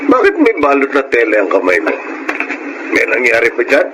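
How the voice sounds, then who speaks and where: A concerned Filipino father reasons earnestly with highly varied intonation. The performance is radio drama, with clear noise in the recording. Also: wildly swooping pitch, a little fast. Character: concerned, supportive father of a schoolboy. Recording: noisy radio drama audio.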